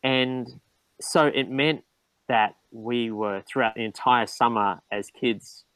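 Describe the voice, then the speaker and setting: A man's voice: mid-range voice, fairly even intonation, slow, fairly bright tone, smooth, no room sound, low-quality recording. A man, a guest in a casual interview, talking with frequent ums and restarts. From New Zealand. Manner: hesitant, restarts with many ums.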